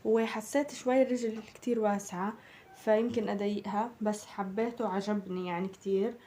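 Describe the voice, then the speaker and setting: Hoarse young Palestinian woman vlogger. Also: medium pitch, fairly even intonation, brisk, dark tone, slight background noise. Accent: Palestinian Arabic. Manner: chatty, addresses viewers as girls. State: slightly hoarse from a cold. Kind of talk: casual shopping haul chat.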